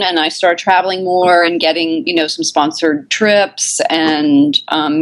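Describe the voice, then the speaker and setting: Woman from Texas, podcast guest, relaxed chatty delivery, slightly low pitch. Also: low-quality recording. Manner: chatty and relaxed, with many ums and you-knows. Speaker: podcast guest, makeup artist and travel blogger. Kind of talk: casual interview chat.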